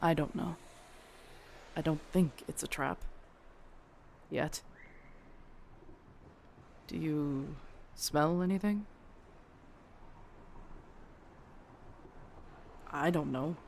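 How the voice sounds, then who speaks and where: A woman playing a slow-talking, wary vampire detective. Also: sits low, creaky, a little hiss or hum, exaggerated voice. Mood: uneasy, guarded. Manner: hesitant, guarded, dry. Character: wary, troubled newly turned vampire police detective. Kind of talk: scripted audio drama acting.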